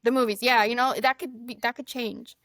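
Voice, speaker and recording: A woman from Mexico, whose first language is Spanish, chatting as a guest author and retelling conversations in a lively, storytelling way. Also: a little high, brisk, dry recording, clean studio sound. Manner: chatty storyteller, quotes people often. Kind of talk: casual podcast chat.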